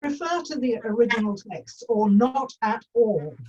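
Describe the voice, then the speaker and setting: An actress playing a snooty, pedantic Jane Austen purist, prim and disdainful, in a comedy script read. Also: female voice, fairly high pitch, expressive, normal speed, darkish tone, slightly rough, recorded from a distance, lo-fi sound. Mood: haughty.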